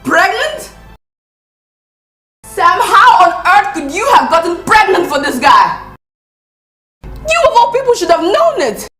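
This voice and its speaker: Une femme exaspérée et sarcastique qui sermonne son amie, jouée par une actrice, d'une voix très aiguë. On l'entend dans une pièce réverbérante. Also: female voice, extremely high pitch, very animated, slow, pauses often, a bit bright, faint music behind, heavily acted. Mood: sarcastic. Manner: reproachful, sarcastic. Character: exasperated woman scolding her friend. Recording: echoey room.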